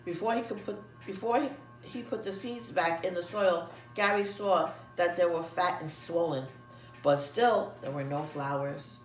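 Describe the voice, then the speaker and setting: Female storybook reader, animated delivery for children, roomy sound set back from the mic. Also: lowish voice, a little slow. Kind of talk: expressive children's story read-aloud. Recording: echoey room, distant mic, music behind.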